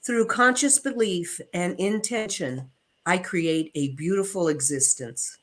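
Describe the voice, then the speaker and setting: A woman leading affirmations and reading an inspirational text aloud in measured, deliberate phrases. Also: lowish voice.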